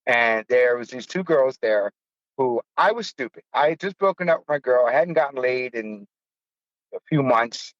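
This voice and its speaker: A man's voice: mid-range voice, lively intonation, moderate pace, no room sound, dull audio. A man in his fifties from the United States, a podcast host telling a personal story in a blunt, profane, self-mocking way. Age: 50s. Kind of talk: casual storytelling.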